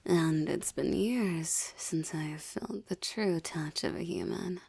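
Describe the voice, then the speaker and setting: Woman playing a slow, low, velvety seductive succubus. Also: darkish tone, no room sound, heavily acted. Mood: yearning. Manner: slow, breathy, formal and ornate diction, teasing. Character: seductive, menacing adult female succubus demon, teasing and possessive. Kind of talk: intimate audio roleplay, ASMR-like monologue addressed to listener.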